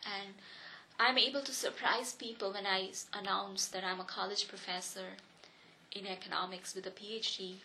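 A woman's voice: medium pitch, fairly even intonation, moderate pace, pushed and bright, a little hiss or hum, low-quality recording. A woman in her thirties from India, speaking, telling her life story earnestly and reflectively in an interview. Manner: earnest, reflective, with frequent restarts. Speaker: interviewee, economics professor. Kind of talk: personal oral history interview.

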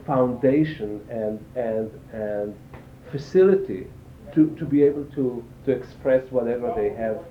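An older man from Israel giving reflective interview answers, haltingly, repeating phrases as he searches for words. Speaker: interviewee, cello teacher and performer. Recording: echoey, tinny band-limited sound with faint rumble.

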